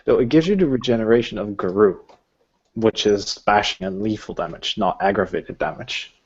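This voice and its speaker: A man's voice: lowish voice, normal speed, husky. A man talking casually through a tabletop roleplaying game, muttering counts and explaining rules in a hesitant way, heard over lo-fi voice chat. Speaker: tabletop roleplaying game master or player rolling combat.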